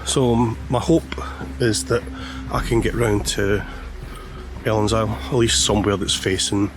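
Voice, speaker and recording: A hoarse man, chatting casually as he vlogs outdoors about landscape photography, with many pauses and fillers. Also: lowish voice, fairly even intonation, background noise. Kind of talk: casual vlog narration. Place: outdoors on a lakeshore, walking. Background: background music.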